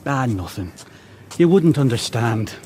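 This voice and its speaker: An actor playing a bitter, resentful young eldest son in a radio drama. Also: male voice, medium pitch, very animated, measured pace, dark tone, a little hiss or hum. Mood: sullen. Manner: sullen, resentful.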